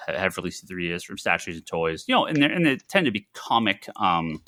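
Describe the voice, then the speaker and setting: A man with a lowish, bright and forceful voice, talking as a podcast co-host. He thinks aloud in a halting way, repeating words for emphasis, in a casual news-chat style. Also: big pitch swings. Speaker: podcast co-host who runs a comics store.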